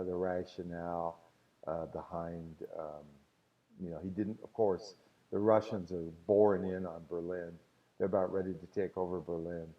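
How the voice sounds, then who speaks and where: A man with a very low voice talks through a history lesson on a live stream, answering questions in a loose, rambling way. He leans on filler words as he goes. He sits back from the mic, and the sound comes through muffled. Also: distant mic. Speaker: history teacher.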